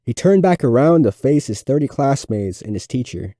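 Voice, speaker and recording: A man's voice: mid-range voice, sing-song, moderate pace, warm tone, no echo, studio quality. A man reading a scripted story as its narrator. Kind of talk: scripted audiobook-style narration.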